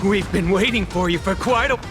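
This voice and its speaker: A man voicing an excitable character in a video game. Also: high voice, brisk, lightly acted. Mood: excited. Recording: game music under the voice.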